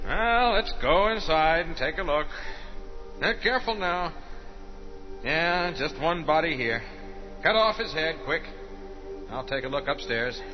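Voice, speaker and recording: An actor playing a gruff, folksy, commanding small-town sheriff in a radio drama, blunt and weary. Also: male voice, fairly high pitch, lively intonation, measured pace, pauses often. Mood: cautious, subdued. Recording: muffled lo-fi radio drama with music.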